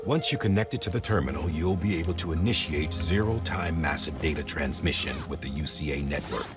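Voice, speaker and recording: Deep-voiced commanding leader over music. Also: male voice, lively intonation. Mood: firm, instructive. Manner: deliberate, emphatic, authoritative speechmaking. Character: commanding, earnest male leader briefing his porter. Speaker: mission commander. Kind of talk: cinematic video game exposition.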